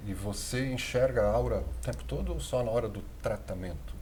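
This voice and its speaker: A man, hosting a TV studio interview and asking questions in a friendly, relaxed way. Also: medium pitch, brisk, slight background noise. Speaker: TV host.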